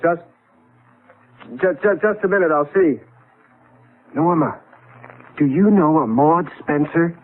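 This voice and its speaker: Agitated stammering husband, tinny vintage radio. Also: male voice, fairly high pitch, slow pace, pauses often. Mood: flustered, urgent. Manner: stammering, urgent. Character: agitated husband displaced into his great-grandfather's era. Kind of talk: 1970s radio drama acting. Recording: narrow-band tinny vintage radio recording.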